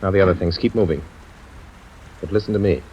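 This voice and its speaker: An actor playing a commanding, secretive crime fighter in an old-time radio drama, with a measured, urgent authority. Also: male voice, low voice, lightly acted. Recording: dull, noisy old radio recording.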